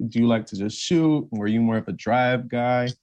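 A man's voice: mid-range voice, normal speed, a little mellow. A man hosting an interview podcast, asking his guest questions in a casual, laid-back way. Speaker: podcast host.

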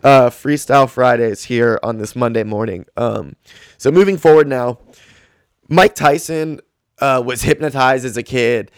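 A man's voice: medium pitch, measured pace, smooth, no echo, studio quality. A man in his twenties hosting a solo podcast, talking casually and rambling with lots of fillers. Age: 20s. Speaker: podcast host.

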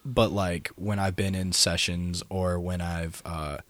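A man with a deep, low voice talks through a story in a loose, rambling way, full of fillers and restarts. The sound is close and dry, with no room around it. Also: little pitch movement, takes it slowly, a bit bright, no echo. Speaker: guest DJ and artist. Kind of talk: casual podcast conversation.

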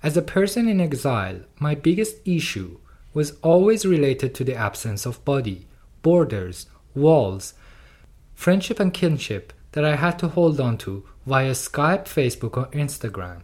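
A person from Iran speaks English in a steady, thoughtful lecture performance. Their is Farsi, and the delivery stays earnest and personal, at a middle pitch. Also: a little slow. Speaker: artist and doctoral researcher.

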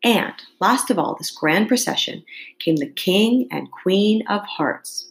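A woman's voice: medium pitch, expressive, slow, gravelly. A woman reading a storybook aloud to students like a teacher, carefully and with expression.

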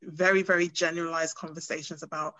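A woman's voice: low voice, flat delivery, fast talker, bright, forceful tone, no room sound. A woman who grew up in Britain with Ghanaian roots, a clinician talking casually and thoughtfully with many fillers and restarts. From Ghanaian family, grew up in the UK. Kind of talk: casual podcast conversation.

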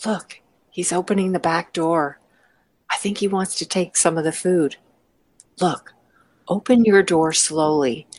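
Woman playing a lowish-voiced, scheming female accomplice. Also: measured pace, long pauses, darkish tone. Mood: conspiratorial. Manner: conversational, conspiratorial. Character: scheming, bold, practical female partner in crime. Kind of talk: amateur audio drama dialogue.

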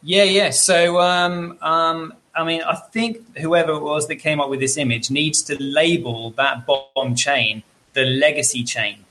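Bright-voiced man explaining as guest. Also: on the high side, steady tone, a little slow, hardly any pauses. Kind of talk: casual talk show discussion.

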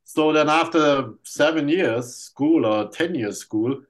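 A man's voice: on the high side, slow. A man who grew up in Germany with German as his first language, co-hosting a podcast in a casual, explaining way. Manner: explaining, frequent "right?" and "I mean". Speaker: podcast co-host.

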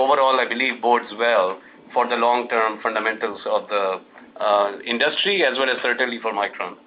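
A man with a mid-range voice speaks as a corporate executive on an earnings call. He explains in a measured but halting way, with frequent pauses. The audio is dull and lo-fi, like a conference line. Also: medium pitch. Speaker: company executive. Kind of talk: earnings call answers to analysts.

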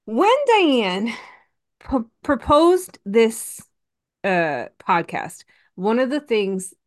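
A woman's voice: fairly high pitch, big pitch swings, very slow. A woman in her forties, a podcast co-host, talking in a chatty, rambling way and laughing often. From Massachusetts. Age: 40s. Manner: many fillers and restarts. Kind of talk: casual chat.

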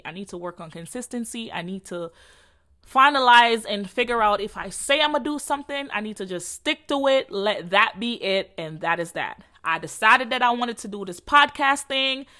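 Young Jamaican woman, solo podcast host, bright and forward voice, casual profane slang. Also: mid-range voice. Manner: candid, profane, slangy and rambling. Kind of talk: casual solo chat to followers.

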